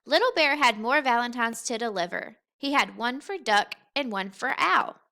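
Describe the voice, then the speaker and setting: A woman reading a children's story aloud in an expressive, unhurried, deliberate way. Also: fairly high pitch. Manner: unhurried, expressive, deliberate phrasing for young listeners. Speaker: teacher reading a picture book aloud.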